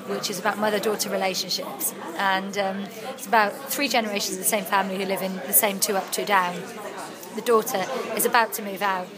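A young Welsh woman, an actress and writer, chatting freely in an interview with lots of ums. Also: medium pitch. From Wales. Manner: chatty, animated storytelling with frequent ums. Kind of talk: casual interview chat.

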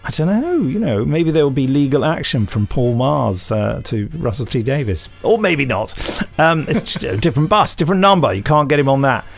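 Guy hosting a podcast, gravelly, goofy little asides, faint music behind. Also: medium pitch, sing-song, brisk, hardly any pauses. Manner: jokey, chuckling asides. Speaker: podcast host. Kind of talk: casual podcast chat, reading listener emails.

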